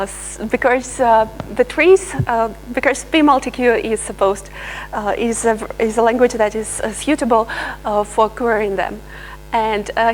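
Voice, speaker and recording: Hesitant woman demoing software. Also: on the high side, a little hiss or hum, poor audio. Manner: hesitant, many filler sounds and restarts. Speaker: researcher presenting software. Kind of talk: live software demo talk.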